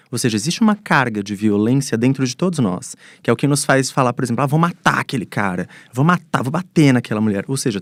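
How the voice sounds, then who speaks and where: A Brazilian man speaks at a quick clip in a dry, close recording. He answers as an expert guest, laying out his reasoning step by step in an articulate, reflective way. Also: mid-range voice, no echo. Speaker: psychoanalysis expert, panel guest. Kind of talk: explanatory panel interview answers.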